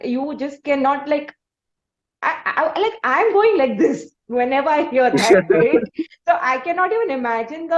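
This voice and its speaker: A woman podcast host with an Indian accent speaks in a fairly high voice. She chats casually and haltingly, often rephrasing as she goes. The sound is quite echoey and roomy. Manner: chatty, halting, circles back to rephrase. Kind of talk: casual conversational interview. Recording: echoey room, dull lo-fi sound.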